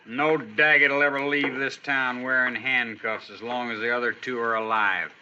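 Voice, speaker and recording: Sharp-voiced, sneering western outlaw. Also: male voice, mid-range voice, steady tone, moderate pace. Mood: defiant. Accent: American. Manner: taunting, menacing. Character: defiant, sneering, cocky male outlaw prisoner. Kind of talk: old-time radio western acting. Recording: dull old radio recording, faint noise.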